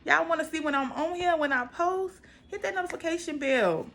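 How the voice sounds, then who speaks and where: A woman's voice: sits high, fairly quick, a bit bright. An American woman in her twenties chatting casually to viewers, emphatic and repeating phrases for stress. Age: 20s. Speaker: vlogger. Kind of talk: casual personal vlog chat to viewers.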